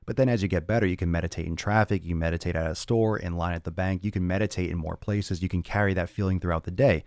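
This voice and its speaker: Fitness podcast host, male, very low voice, blunt and profane, dry close mic. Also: steady tone, fast, a little mellow, dry recording. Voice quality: raspy on some days. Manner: blunt and profane, rambling with restarts. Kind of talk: casual solo talk answering listener chat.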